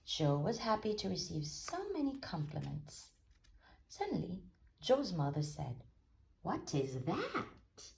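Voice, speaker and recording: A woman reading a children's story aloud as an expressive narrator. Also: on the low side, measured pace, gravelly, slight background noise. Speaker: storybook reader.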